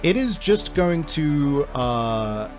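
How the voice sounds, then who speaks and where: A man's voice: a little high, sing-song, extremely slow, darkish tone. Casual man hosting over background music. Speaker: game master and show creator. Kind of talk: casual, unscripted podcast talk. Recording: music behind the voice.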